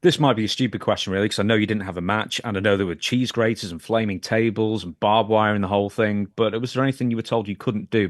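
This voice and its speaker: Low-voiced man asking wry questions, dry. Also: dry recording. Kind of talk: casual podcast interview.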